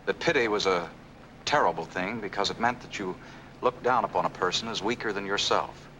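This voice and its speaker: An actor playing a devoted, insistent young fiancé with earnest, emphatic 1940s film noir delivery. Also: male voice, lowish voice, a little fast, sharp tone, a little hiss or hum, rough recording. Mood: earnest, defensive. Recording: old film soundtrack, faint music.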